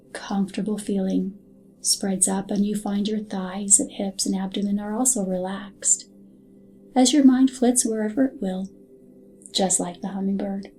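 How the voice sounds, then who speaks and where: A woman narrating a scripted hypnotic guided meditation in a soothing, soft and unhurried manner. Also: medium pitch. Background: background music.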